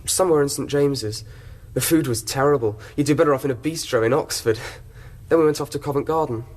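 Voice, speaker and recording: A man's voice: mid-range voice, a little fast, darkish tone, lightly acted. An actor playing a trusting, innocent young Englishman in a radio drama, talking in a mild, polite, candid way. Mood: candid, reminiscing. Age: young adult. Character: trusting, innocent young male college gardener accused of blackmail. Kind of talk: British radio drama acting.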